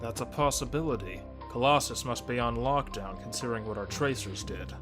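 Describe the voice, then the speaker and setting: A woman playing a very low-voiced skeptical researcher. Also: a little fast. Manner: dry, questioning, matter-of-fact. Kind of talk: fan audio drama acting. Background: music score.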